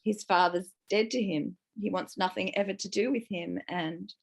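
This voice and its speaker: A woman in her fifties with a croaky voice, sharing raw, distressed feelings in a book club and swearing as she vents. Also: medium pitch, normal speed. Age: 50s. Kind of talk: emotional personal sharing.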